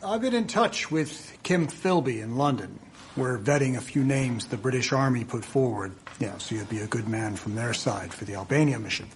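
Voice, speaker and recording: An actor playing a keen, confident counterintelligence chief, clipped and assured, in a radio drama. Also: male voice, mid-range voice, animated, normal speed, slight background noise, close to an everyday voice. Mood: assured, admiring.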